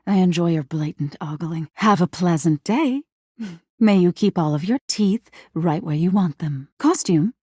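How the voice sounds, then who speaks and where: Creepy female nightmare spirit for a game, dark mellow voice, husky, intimate, menacing but sweet. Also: expressive, measured pace, dry recording, studio quality. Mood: gleefully eerie. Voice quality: breathy, husky, low. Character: female living nightmare spirit, eerie, seductive, wounded, menacing. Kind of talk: video game character acting.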